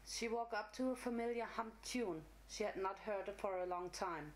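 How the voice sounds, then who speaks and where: Female narrator, medium pitch, for dramatic fantasy storytelling. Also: normal speed, over music, poor audio.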